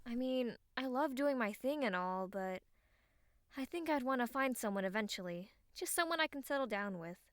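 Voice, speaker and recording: Audiobook succubus girl, played by a woman: bright, forceful, highish voice, smirking, blunt and teasing. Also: lively intonation, moderate pace, no room sound, clean studio sound. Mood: candid.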